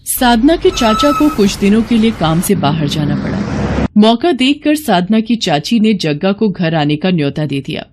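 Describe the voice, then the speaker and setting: A woman's voice: mid-range voice, very animated, moderate pace, noisy background. A woman narrating a scripted moral bedtime story as its storyteller.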